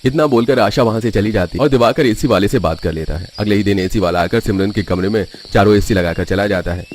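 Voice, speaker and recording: A man's voice: lowish voice, fast, darkish tone, a little hiss or hum. A man narrating a scripted family story.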